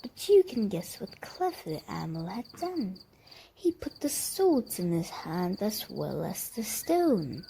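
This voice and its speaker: A woman narrates a children's bedtime tale with a mellow tone and a very lively, swooping delivery. She takes her time and speaks straight to the listener. A faint noise sits behind her. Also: medium pitch, gravelly, rough recording. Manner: dramatic, deliberate, addresses the listener. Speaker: storyteller.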